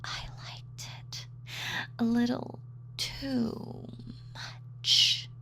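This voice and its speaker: A woman's voice: on the high side, level tone, extremely slow, creaky, sharp tone, in a whisper, exaggerated voice. A woman playing a sadistic, gloating female supervillain in a scripted roleplay audio, taunting and savoring her words theatrically. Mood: contemptuous, menacing. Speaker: voice actor.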